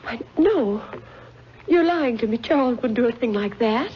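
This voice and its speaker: An actress playing an anxious, disbelieving mother of a teenage boy in a 1950s radio drama, speaking haltingly. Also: on the high side, expressive, close to an everyday voice. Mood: grieving disbelief. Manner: halting, repeating herself in disbelief. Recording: muffled vintage radio recording, faint noise.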